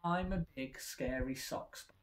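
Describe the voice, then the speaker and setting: Man voicing an excitable, high-pitched young tomcat. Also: moderate pace, lightly acted. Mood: excited, boastful. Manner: exclaiming, pleading. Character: eager, excitable young male cartoon cat. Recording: roomy indoor echo.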